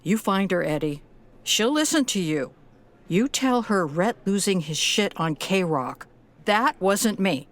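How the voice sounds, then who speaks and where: Protective adult granddaughter for audio drama: troubled, tough-minded, earnest, mid-range voice, measured slow pace. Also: female voice, mid-range voice, animated. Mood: urgent, determined.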